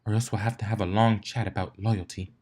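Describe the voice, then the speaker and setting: A man's voice: on the low side, level tone, fairly quick, a little mellow, lightly acted. A man voicing a fussy, disapproving female unicorn in a story reading, muttering gossipy asides. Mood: stern.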